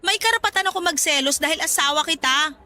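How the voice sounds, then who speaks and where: An actress playing a jealous, sulky wife in radio drama dialogue, with an accusing way of talking. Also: female voice, high voice, fast talker, sharp tone. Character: jealous, sulky, suspicious young-sounding wife.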